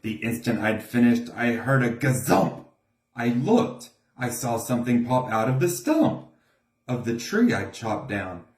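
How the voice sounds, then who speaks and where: A man's voice: medium pitch, very animated, unhurried. A man reading a rhyming children's storybook aloud as narrator. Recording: roomy, echoing room sound.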